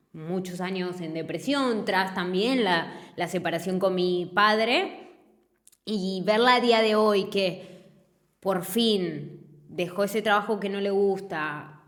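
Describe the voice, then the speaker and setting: A woman from Buenos Aires with an Argentine accent, talking earnestly and reflectively in a relaxed interview, often rambling and restarting. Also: mid-range voice, roomy sound. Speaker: fitness business co-founder, guest.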